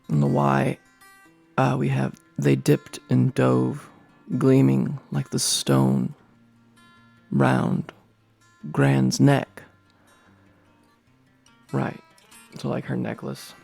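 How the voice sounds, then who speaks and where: A man with a gravelly, mid-pitched voice reads a humorous article aloud. His delivery is halting and rambling, mixing recitation with off-the-cuff remarks. Faint guitar music plays behind him. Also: darkish tone. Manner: hesitant, rambling, frequently clears throat. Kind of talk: reading aloud with casual off-the-cuff commentary.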